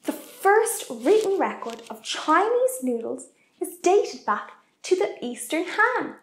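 A young woman hosting a kids' cooking show, presenting facts in an animated, deliberate way. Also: very high-pitched. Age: young adult. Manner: animated, expressive, deliberate for kids. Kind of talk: children's educational presenting. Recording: echoey room.

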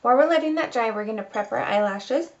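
A woman's voice: a little high, animated, quick pace, lo-fi sound, a little hiss or hum. A woman in her twenties giving a casual, chatty makeup tutorial as a beauty vlogger, recorded at home on a camera mic. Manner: chatty, informal. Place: small room at home.

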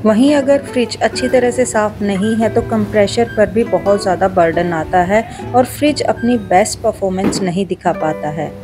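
A woman with an Indian accent giving a calm, step-by-step voice-over for a home cleaning video. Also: medium pitch, moderate pace, few pauses. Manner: explains each step in a steady how-to tone. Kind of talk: calm scripted voice-over narration. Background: background music.

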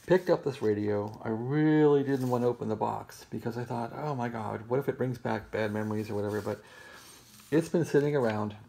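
A middle-aged or older American man talks through a casual show-and-tell from behind a handheld camera. His voice is rough and husky, with a gravelly fry. He rambles and muses in an unscripted way, at a middling pitch. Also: fairly quick, mellow, poor audio. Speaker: vlogger showing a vintage radio. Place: bedroom in a house. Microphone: handheld camera, close behind it.

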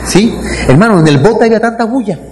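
A middle-aged man preaching a sermon as pastor, animated, with many rhetorical questions to the congregation. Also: sits high, fast talker. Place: church hall. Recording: reverberant hall with background noise.

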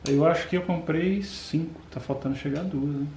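A man's voice: on the high side, normal speed, a little mellow. A young Brazilian man in his twenties chatting casually at home, a short distance from the camera. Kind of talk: casual chat. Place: at home, covered garage and kitchen. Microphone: camera a short distance away. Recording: roomy echo, faint noise, off-camera mic.

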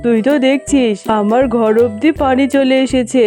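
Woman as a high-voiced stingy tigress landlady. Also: fairly even intonation, normal speed, warm tone, lightly acted. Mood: dismissive. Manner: curt, refusing. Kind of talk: children's cartoon dub. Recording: music behind the voice.

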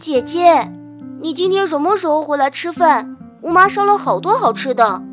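Woman doing a sweet eager little boy in an audiobook, high kiddy voice, thin phone sound. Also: lively intonation, moderate pace. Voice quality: childlike, tender. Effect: phone filter. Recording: thin phone-like band, music.

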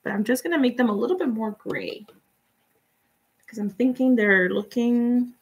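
A young woman from Guatemala whose first language is Spanish, chatting relaxed and giving step-by-step tips in a live painting tutorial. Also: fairly high pitch, normal speed, lo-fi sound. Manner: relaxed, chatty, laughs often, answers viewers' comments. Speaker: painting instructor.

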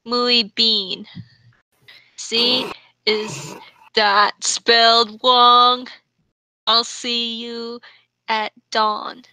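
A woman's voice: fairly high pitch, flat delivery, very slow, long pauses, bright, forceful tone, smooth, no echo, poor audio. A woman reading aloud and commenting casually in an informal group session. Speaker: co-host reader.

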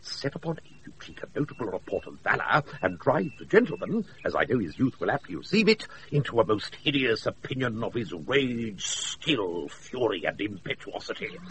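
Blustering British old knight, wildly varied pitch. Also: male voice, big pitch swings, a bit bright. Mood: gleeful scheming. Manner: blustering, grandiose, drawn-out mock-menacing delivery. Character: boisterous scheming old knight, mischievous drunken uncle. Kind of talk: classical radio play acting. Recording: noisy off-air radio recording.

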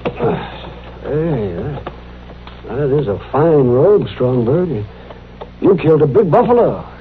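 Lively, high-voiced kindly frontier doctor. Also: male voice, slow pace. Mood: flustered, friendly. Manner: hesitant, folksy, lively. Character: kindly, modest, plain-spoken frontier town doctor. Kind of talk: old-time radio western acting. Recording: tinny, noisy old radio recording.

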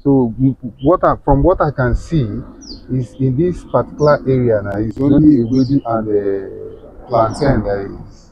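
A man's voice: mid-range voice, measured pace, vocal fry. A man with a Nigerian accent asking a farmer questions outdoors, talking hesitantly and repeating phrases. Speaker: co-interviewer. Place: outdoors on a farm. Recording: dull sound with faint noise.

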